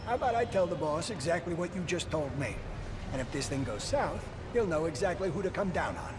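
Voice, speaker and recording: Bossy, animated mobster. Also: male voice, on the high side, fairly quick, noisy background. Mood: irritated. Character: bossy, impatient male mob lieutenant. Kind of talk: video game cutscene acting.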